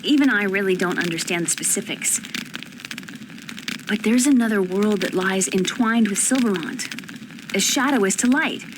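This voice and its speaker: A woman's voice: mid-range voice, moderate pace, close to an everyday voice. A woman voicing an earnest young female summoner from another world in a video game, explaining things with conviction. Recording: noisy game ambience behind voice.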